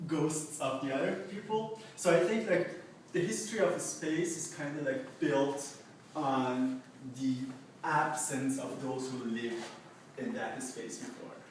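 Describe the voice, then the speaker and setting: A man's voice: mid-range voice, a little slow, a little hiss or hum. A young man whose first language is Farsi, an artist talking about his paintings off the cuff, hesitant, with many filler words and restarts, in an exhibition space. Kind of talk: unscripted gallery talk. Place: art exhibition space indoors. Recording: very echoey room, voice from far off.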